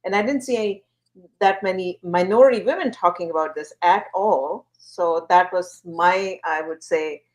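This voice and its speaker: A woman's voice: on the low side, moderate pace, rough recording. A woman from India, a guest on a talk show, answering interview questions earnestly and with many fillers. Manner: animated, earnest, uses fillers. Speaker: guest, wellness platform founder.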